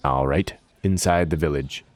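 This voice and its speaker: A man voicing a gruff, broad-shouldered army captain, curt and dismissive. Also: very deep voice, normal speed, dry recording.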